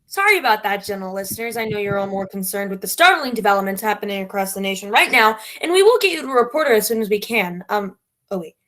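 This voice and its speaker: A woman's voice: medium pitch, animated, fast talker, a bit bright, natural voice. A woman playing an exasperated, melodramatic announcer in a spoof old-time radio broadcast. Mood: solemn, apologetic.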